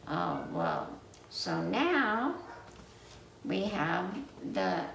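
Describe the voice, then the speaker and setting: A woman telling a children's story from memory in a halting, meandering way, pausing to put questions to her listeners. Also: lowish voice, huge pitch swings, creaky, fairly bright tone. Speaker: library storyteller. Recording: roomy, distant mic with faint noise.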